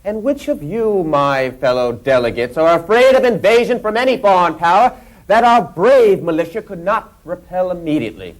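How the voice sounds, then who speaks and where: An actor playing a combative eighteenth-century delegate, declaiming rhetorical challenges in a period drama. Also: male voice, high voice, animated, moderate pace, rough recording. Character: fiery 18th-century delegate hostile to standing armies. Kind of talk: period stage-like debate acting.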